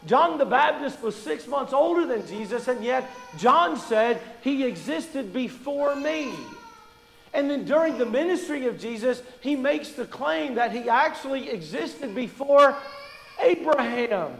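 A high-voiced man from Kentucky preaches, mixing folksy jokes into his teaching. His voice rings out with a long reverb, as in a big church sanctuary. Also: animated, unhurried, gravelly. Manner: folksy, joking storyteller. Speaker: pastor. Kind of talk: sermon.

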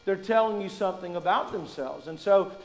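High-voiced pastor from Kentucky, joking. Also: male voice, a little fast, big-room sound. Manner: folksy, joking storyteller. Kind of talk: sermon.

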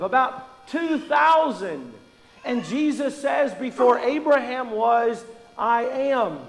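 High-voiced pastor from Kentucky, joking. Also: male voice, gravelly, in a large hall, poor audio. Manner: folksy, joking storyteller. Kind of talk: sermon.

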